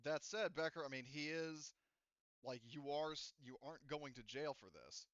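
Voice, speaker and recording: A man talking casually as a game master, explaining things in a halting, repetitive way to players. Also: on the high side, animated, fairly bright tone, no room sound, lo-fi sound. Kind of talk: casual unscripted discussion of a tabletop roleplaying game.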